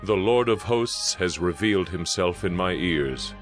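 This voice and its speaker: Deep-voiced male Bible narrator over music. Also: animated, a little slow. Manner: solemn, declamatory. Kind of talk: dramatized scripture narration.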